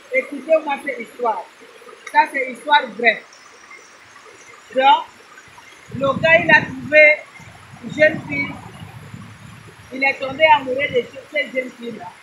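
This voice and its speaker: Brief: non-native French-speaking woman, high voice, repetitive preachy lecture to moms, noisy background. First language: not French. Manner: prayerful, scolding, repetitive, addresses listeners as mothers. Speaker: advice vlogger. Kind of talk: informal preaching talk to mothers.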